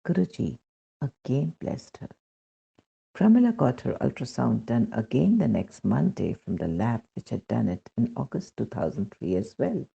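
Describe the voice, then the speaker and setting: Indian guy reading a devotional story, really husky and dark voice, kinda muffled recording. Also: mid-range voice, big pitch swings, normal speed. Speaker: reader of devotional testimony. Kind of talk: scripted narration, read aloud.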